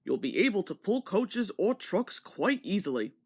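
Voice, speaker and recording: A kindly railway boss voiced by a woman in a children's storybook, giving measured, authoritative instructions. He speaks at a medium pitch for her, with expressive rises and falls. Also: slightly rough. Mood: kindly, instructing.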